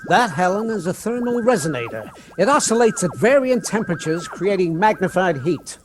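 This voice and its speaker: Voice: mid-range voice, very animated, moderate pace, a little hiss or hum, natural voice. A performer playing an irritable, pompous mad scientist professor in a spoof B-movie radio comedy, with a dry, exasperated manner. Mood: pompous, lecturing.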